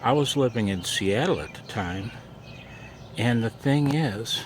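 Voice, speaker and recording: A man with a gravelly voice chatting casually in a rambling, self-deprecating way for his vlog. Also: expressive, unhurried. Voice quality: low, gravelly. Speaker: amateur radio vlogger. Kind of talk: casual chat. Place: outdoors in a backyard garden and deck. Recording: outdoor background noise.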